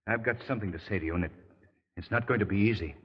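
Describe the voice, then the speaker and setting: An actor playing an earnest, protective gentleman hero with an American accent, acted dramatically in 1930s radio style. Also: lowish voice, rapid-fire, husky. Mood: grave, tender. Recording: muffled old radio recording with hall reverb.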